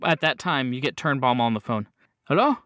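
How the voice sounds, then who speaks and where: A man's voice: medium pitch, fairly quick, a bit bright, clear, resonant tone, no room sound. A man narrating a tabletop horror game as game master, describing scenes vividly and off the cuff.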